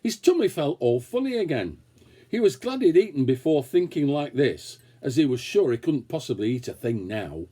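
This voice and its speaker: A man reading a children's story aloud, expressively and with dramatic pauses. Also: medium pitch, very animated, mellow, slightly rough. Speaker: story reader. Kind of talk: scripted children's story narration.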